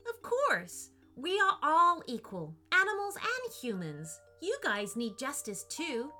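A woman's voice: high voice, wildly swooping pitch, takes it slowly, sharp tone, soft background music, voice pushed up, exaggerated voice. A woman playing an earnest, polite young boy. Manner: polite, calls others sir.

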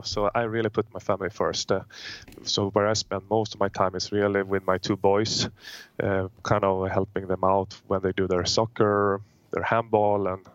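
A low-pitched Swedish man speaks English in a measured, hesitant way, often stopping on an uh and repeating words. His voice comes through dull and lo-fi, as from a remote connection. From Sweden. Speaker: guest, telecom executive. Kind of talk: interview answers.

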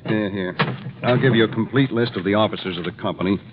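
A man playing an earnest, worried insurance executive in a 1950s radio drama, speaking gravely and emphatically. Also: lowish voice, quick pace, close to an everyday voice. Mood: grave, urgent. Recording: dull, faintly noisy 1950s radio recording.

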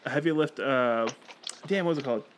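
A man in his thirties co-hosting a podcast, playful and halting, often restarting his sentences. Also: mid-range voice, a little hiss or hum. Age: 30s. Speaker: podcast co-host. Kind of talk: casual podcast chat.

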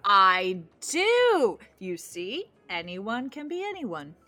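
A woman's voice: medium pitch, big pitch swings, slow, bright, forceful tone, faint music behind, exaggerated voice. An actress playing a pushy, nervous young woman waiting for a blind date in an audio drama comedy, needling and rambling with suspicion. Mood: defensive, rambling. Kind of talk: audio drama acting, romantic comedy dialogue.

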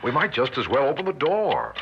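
An actor playing an earnest, weary, protective father in 1960s radio drama, pleading and reasoning in halting phrases. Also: medium pitch, very animated. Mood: grim, resigned. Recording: noisy, muffled old radio recording.

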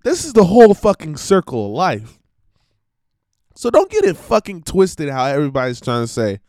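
Slightly hoarse man, high lively voice, preachy ranting monologue, dry close sound. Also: moderate pace, no echo. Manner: emphatic, preachy, swearing, repeats himself. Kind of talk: casual monologue rant.

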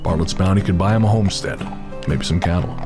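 Deep-voiced male story narrator. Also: fast talker, over music. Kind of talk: scripted horror story narration.

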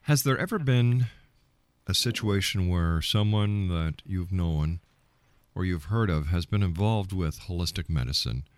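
A man's voice: deep voice, no echo. A man hosting a radio interview, asking probing questions in a direct, challenging manner.

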